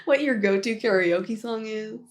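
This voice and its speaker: A woman's voice: on the high side, natural voice. A woman playing a shy, flustered young office worker confessing a crush in a nervous, rambling, giggly ASMR roleplay. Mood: nervous, curious. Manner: nervous, halting, rambling, giggly, self-deprecating. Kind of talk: intimate ASMR roleplay monologue.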